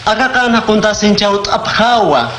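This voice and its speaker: Lively high-voiced man, radio drama. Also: normal speed. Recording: echoey room with faint noise.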